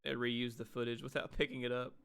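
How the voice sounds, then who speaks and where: A man's voice: medium pitch, little pitch movement, brisk, vocal fry, fairly bright tone, smooth. A man doing casual, profane playthrough commentary on a game, reading dialogue aloud theatrically with asides of his own. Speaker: streamer reading a visual novel aloud. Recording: music behind the voice.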